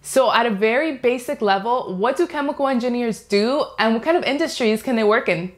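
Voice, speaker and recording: A young woman hosts with a bright, upbeat manner and a fairly high voice. Her lines are delivered in a clear scripted way. She speaks into a lapel mic in a studio. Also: brisk. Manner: upbeat, enthusiastic. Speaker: host. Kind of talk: scripted channel host talk. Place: studio before green screen, indoors.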